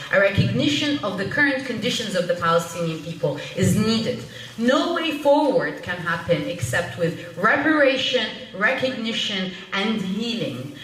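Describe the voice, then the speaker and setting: A woman giving an earnest, urgent public talk as a panel speaker, often pausing to find her words. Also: medium pitch, a bit bright. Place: public meeting room at a panel event. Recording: echoey room from far off, faint noise.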